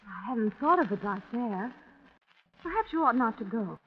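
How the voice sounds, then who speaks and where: An actress playing an eager, coaxing wife in an old-time radio crime drama. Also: female voice, fairly high pitch, big pitch swings, moderate pace. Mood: earnest. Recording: muffled, noisy old radio drama recording.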